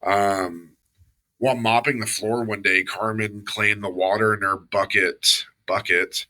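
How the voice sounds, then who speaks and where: A man with a Southern US accent, a podcast co-host reading a story aloud in a steady, measured way between casual chat. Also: fairly low pitch, low-quality recording.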